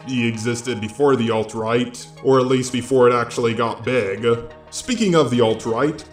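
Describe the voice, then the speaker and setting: A man's voice: mid-range voice, reverberant. A synthetic robotic male voice reading scripted video essay commentary. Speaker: host of a video commentary channel. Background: faint background music.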